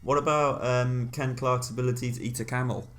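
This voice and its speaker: A man with an average-pitched voice co-hosts a casual podcast. He is playful, quick to laugh, and loves to riff on a joke. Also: fairly even intonation, fairly bright tone. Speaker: podcast co-host. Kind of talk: casual comedic chat.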